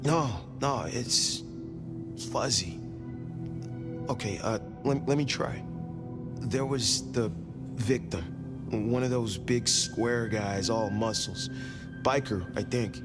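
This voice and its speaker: An actor playing a confused, shaken young man giving a witness account in a video game, halting and unsure. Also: mid-range voice, loud music behind the voice, lightly acted. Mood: confused, uneasy. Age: young adult.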